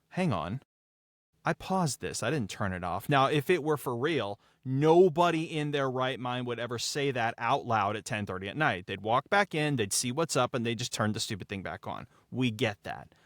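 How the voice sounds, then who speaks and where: A man with a mid-pitched voice talks reflectively about creative work as a podcast guest. His delivery is emphatic and dramatic, with deliberate pauses for weight. The sound is dry and close. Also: fairly bright tone, no echo. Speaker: podcast guest, audio drama writer, producer and actor. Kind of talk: reflective behind-the-scenes discussion.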